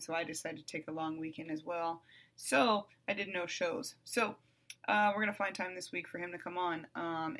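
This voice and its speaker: A middle-aged woman hosting her own podcast, talking bluntly and with profanity in a calm, even voice that flares up loud at times. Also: fairly low pitch. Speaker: podcast host. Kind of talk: candid monologue.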